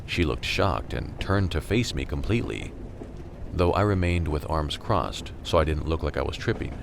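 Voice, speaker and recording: A man's voice: rumbling low, lively intonation, normal speed, creaky, noisy background, exaggerated voice. A man playing a jaded scavenger who narrates a radio drama in a wry, matter-of-fact, weary way. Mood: wry, reflective. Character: jaded male scavenger in a silent post-virus America, narrating.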